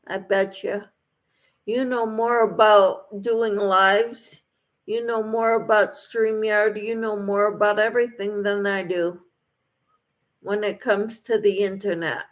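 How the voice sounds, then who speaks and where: A woman's voice: mid-range voice, fairly even intonation, takes it slowly. A woman hosting a casual livestream, reading out viewers' comments and replying to them in an encouraging way. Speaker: livestream host.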